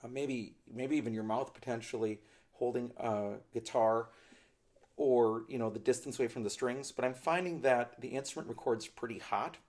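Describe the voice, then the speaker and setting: A middle-aged man talks through a gear demonstration at a steady, mid-pitched level. He hedges and qualifies his points as he explains, recorded in a small indoor studio at desk distance. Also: normal speed. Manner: thoughtful, hedging, explanatory. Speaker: ukulele gear reviewer. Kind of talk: product review demonstration.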